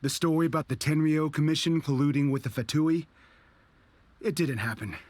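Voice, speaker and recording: A man's voice: fairly high pitch, normal speed, very noisy, natural voice. A man playing a bitter rebel soldier. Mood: resolute. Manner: intense, brooding, defiant. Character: bitter male rebel soldier, grim and stubborn. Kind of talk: video game voice acting.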